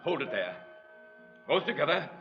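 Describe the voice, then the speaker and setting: An actor playing an imperious rich patriarch in an old radio drama, giving clipped commands. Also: male voice, a little high, big pitch swings, normal speed. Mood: commanding. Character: imperious rich patriarch barking orders at his servants. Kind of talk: 1940s-50s radio drama acting. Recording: dull vintage radio recording, music behind.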